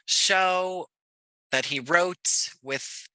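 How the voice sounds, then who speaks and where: A man's voice: high voice, drawn-out words, sharp tone, clear, resonant tone, dry recording. A man from Jersey City talking casually as a guest on a podcast. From Jersey City, United States. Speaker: podcast guest, audio playwright. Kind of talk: casual interview chat.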